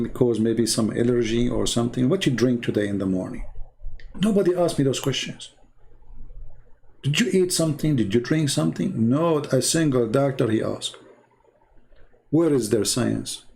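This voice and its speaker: Man, gravelly voice, rambling, scornful, middle pitch. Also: a little mellow. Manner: rambling, scornful, repeats himself. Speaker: online commentator. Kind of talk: casual monologue.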